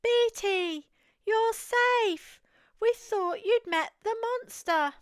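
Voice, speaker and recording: Woman as excitable, very high-pitched little forest animals. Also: drawn-out words, dry recording, studio quality, heavily acted. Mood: relieved. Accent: English. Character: small anxious forest animals, excitable and urgent.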